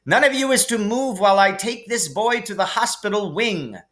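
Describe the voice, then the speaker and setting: Guy doing a strict lady flying teacher from a storybook, high and sharp, barking orders at kids. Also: moderate pace. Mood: stern warning. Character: brisk, strict woman flying instructor at a wizard school.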